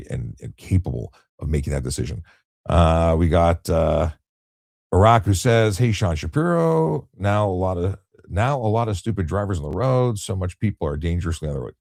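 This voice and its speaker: Low, husky man. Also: no room sound, clean studio sound. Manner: calm, explanatory, many restarts and fillers. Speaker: retired traffic police officer, live-stream host. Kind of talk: relaxed late-night live chat reading viewer questions.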